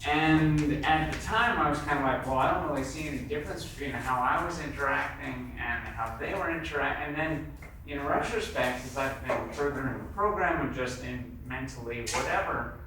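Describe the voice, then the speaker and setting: A man's voice: mid-range voice, normal speed. Hesitant man in a noisy, echoing room. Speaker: meeting participant sharing personal experience. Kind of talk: casual personal testimony. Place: convention meeting room, indoors. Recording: very echoey room, distant mic, clear noise.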